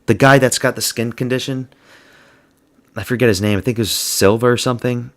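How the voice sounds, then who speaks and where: A man's voice: medium pitch, steady tone, a little fast. A man giving a blunt, crude, slang-heavy solo review of fight results. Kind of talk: casual solo commentary.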